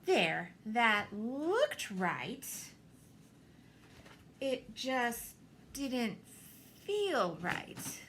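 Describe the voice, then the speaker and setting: A woman reads a children's storybook in a high voice with very lively intonation. Her pace is a little slow, with theatrical pauses and careful emphasis. There is faint noise behind her. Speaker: storybook reader, teacher. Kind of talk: expressive read-aloud of a children's picture book.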